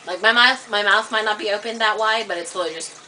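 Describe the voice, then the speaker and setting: A woman vlogging in a casual, rambling monologue to camera, full of "like" and "um", getting animated and high when worked up. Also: fast talker. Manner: chatty, filler-heavy, many "like" and "um" restarts. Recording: distant webcam mic with faint hiss.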